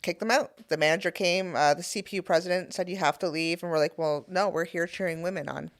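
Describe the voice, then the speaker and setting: A woman's voice: fairly low pitch, quick pace. A Canadian woman talking as a podcast guest in casual conversation, telling her story in an earnest, emphatic way.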